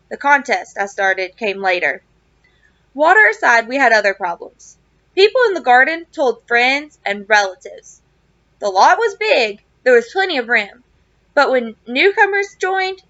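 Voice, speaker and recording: A woman with a high, bright voice speaks as a teacher reading to children. Her phrasing is expressive, with clear emphasis for young listeners. The recording is dry and slightly dull, lo-fi. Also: dry recording. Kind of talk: read-aloud of a children's novel with casual asides.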